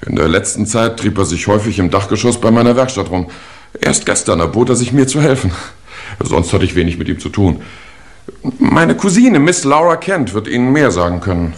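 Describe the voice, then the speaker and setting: An actor playing a nervous, flippant upper-class nephew in a 1950s German radio crime play, defensive and agitated. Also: male voice, on the low side, very animated, a little fast.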